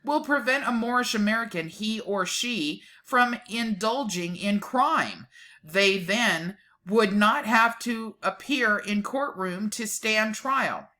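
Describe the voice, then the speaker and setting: Bright-voiced woman reading slowly and dramatically. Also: medium pitch, animated. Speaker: presenter reading a document aloud with asides. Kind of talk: dramatic reading with casual mocking asides.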